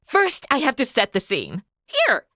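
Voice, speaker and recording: A woman's voice: a little high, wildly swooping pitch, a little slow, sharp tone, no echo, clean studio sound, heavily acted. An actress playing a brash, carefree young woman in a comedy audio drama, slangy and bossy. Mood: breezy.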